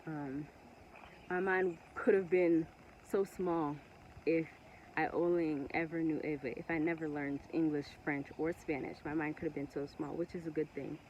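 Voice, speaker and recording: A woman from Togo whose first language is Ewe, talking earnestly and passionately to camera with many pauses and restarts. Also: low voice, fairly even intonation, a little mellow, highs cut off, slight background noise. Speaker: vlogger. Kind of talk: casual monologue to camera.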